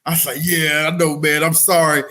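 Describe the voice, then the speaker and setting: Male comedy podcast co-host, 40s. High voice, informal slangy delivery, relaxed about swearing. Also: brisk. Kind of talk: casual comedic chat.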